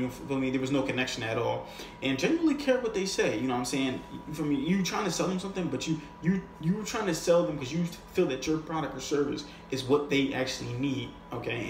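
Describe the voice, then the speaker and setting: A man coaching on sales in a casual, unscripted, conversational way, using slang and often checking in with the listener. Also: mid-range voice. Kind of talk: casual, unscripted instructional talk. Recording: echoey room with faint noise.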